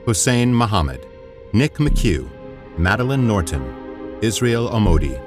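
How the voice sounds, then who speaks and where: A man reading scripted closing credits in a formal, measured announcing manner. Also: fairly low pitch, very animated. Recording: music behind the voice.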